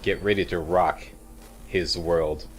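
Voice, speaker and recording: A man's voice: low voice, fairly even intonation, takes it slowly, a little hiss or hum. A man hosting a video game walkthrough with casual, chatty, wry commentary and frequent check-ins with the viewer. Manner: chatty, wry, mildly profane, checks in with "okay" and "right".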